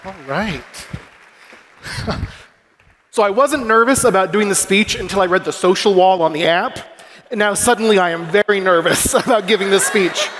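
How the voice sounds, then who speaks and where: Peppy high-voiced man on stage. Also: normal speed, pauses often, fairly bright tone, big-room sound, a little hiss or hum. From Massachusetts. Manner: upbeat, self-deprecating, jokey crowd work. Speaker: conference keynote speaker. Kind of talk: energetic stage speech to a youth audience. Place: large convention hall stage. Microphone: stage microphone through a PA.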